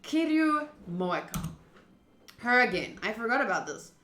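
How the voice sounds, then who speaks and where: Bright-voiced woman reading game text aloud. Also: on the high side, sing-song, unhurried, a little hiss or hum. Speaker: streamer playing a visual novel. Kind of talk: dramatic read-aloud of game text with casual asides. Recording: roomy, echoing sound.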